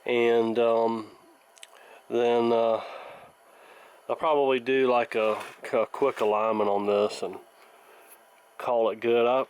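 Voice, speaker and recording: Halting, plainspoken man thinking aloud. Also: medium pitch, level tone, darkish tone, clean tone. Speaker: radio repair hobbyist and video host. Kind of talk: casual hands-on workshop commentary.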